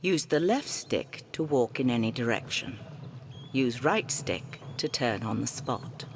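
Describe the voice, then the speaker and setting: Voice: mid-range voice, animated, unhurried, background noise. A narrator reading scripted menu options and control instructions for a game.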